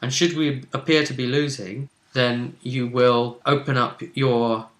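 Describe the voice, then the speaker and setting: A man hosting a casual discussion of a tabletop game, talking thoughtfully with drawn-out words and frequent restarts. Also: mid-range voice, steady tone, a bit bright. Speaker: game master and co-commentator. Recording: roomy echo.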